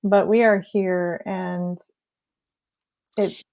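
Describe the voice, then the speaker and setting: Woman host, low energy, soft-spoken and rambling, dull lo-fi sound. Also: flat delivery, drawn-out words. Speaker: life coach host. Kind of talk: casual interview chat. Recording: slightly dull lo-fi audio.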